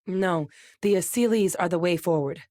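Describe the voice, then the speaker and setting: A woman playing a seasoned ex-military scientist in a video game, steady and earnest, with a measured, serious delivery. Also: fairly low pitch, no room sound, studio quality, natural voice. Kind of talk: video game character voice lines.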